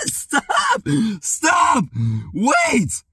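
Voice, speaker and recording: A man doing excited reaction commentary, clearing his throat as he recovers from being sick and saying dude and bro a lot. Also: extremely high pitch, extremely slow. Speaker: music reaction YouTuber.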